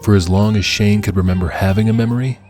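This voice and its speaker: A man's voice: fairly low pitch, steady tone, quick pace, a little mellow, faint music behind. A man reading scripted dramatic fiction as an audiobook narrator.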